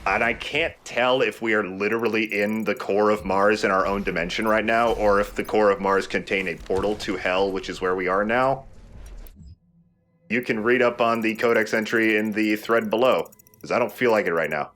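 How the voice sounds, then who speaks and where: A man's voice: on the low side, moderate pace, a bit bright. A man hosting a let's play with laid-back, rambling, casual gameplay commentary.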